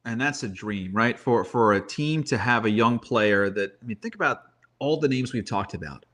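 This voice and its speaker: A man's voice: medium pitch, moderate pace, a bit bright. A man giving sports commentary in conversation, making his points emphatically and slipping into storytelling. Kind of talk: animated baseball talk.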